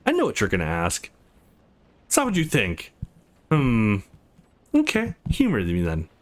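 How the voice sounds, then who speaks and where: A man voicing a tough but secretly soft young woman in a visual novel, blunt, sarcastic and reluctant. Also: fairly low pitch, huge pitch swings, a little slow, pitched below the performer's own voice. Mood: wary.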